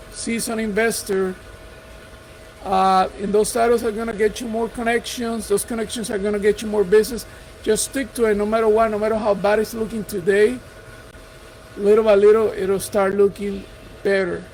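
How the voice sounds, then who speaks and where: A man's voice: high-pitched, a little mellow, a little hiss or hum, rough recording. A middle-aged man from Puerto Rico with a Puerto Rican Spanish accent, giving an earnest, encouraging motivational talk to camera about investing. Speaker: real estate investor. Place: house.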